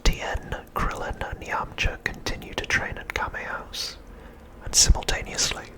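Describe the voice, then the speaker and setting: Man, breathy whisper, ASMR host, scripted recap narration, deliberate short phrases. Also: rough recording.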